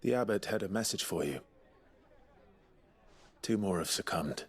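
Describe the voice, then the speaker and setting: An actor playing an earnest, brooding young warrior in a video game, terse and solemn. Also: male voice, low voice, lively intonation, measured pace, breathy edge, some chatter behind, exaggerated voice. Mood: grave. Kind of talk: video game drama acting. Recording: music behind the voice.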